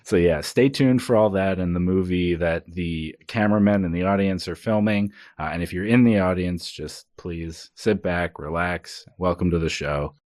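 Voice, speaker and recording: A man's voice: sits low, normal speed, few pauses, darkish tone, no echo, clean studio sound. A man co-hosting a podcast with casual comedic banter in a playful, mock-earnest way. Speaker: podcast co-host.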